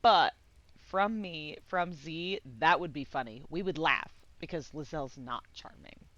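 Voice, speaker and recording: A woman giving casual live game commentary, excitable and giggly, swearing and meandering as she thinks aloud. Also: low-pitched, measured pace, dry recording, highs cut off. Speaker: game streamer.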